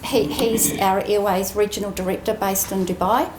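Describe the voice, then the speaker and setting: A woman's voice: medium pitch, fairly even intonation, moderate pace. New woman speechmaking in an echoey room. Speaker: aviation training executive giving a speech. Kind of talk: prepared graduation ceremony speech. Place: indoor ceremony room. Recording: roomy echoing venue, faint background noise.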